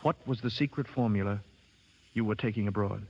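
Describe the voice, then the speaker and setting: An actor playing a determined, quick-witted wanted man on the run in a 1930s radio drama. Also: lowish voice, a little mellow, husky. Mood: firm, serious. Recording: muffled, noisy, reverberant 1930s radio broadcast.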